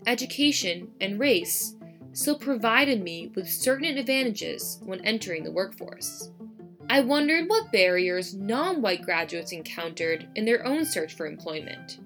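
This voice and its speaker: A woman hosting a podcast, reading a scripted narration in an earnest, measured way. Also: fairly high pitch, a bit bright. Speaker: podcast host.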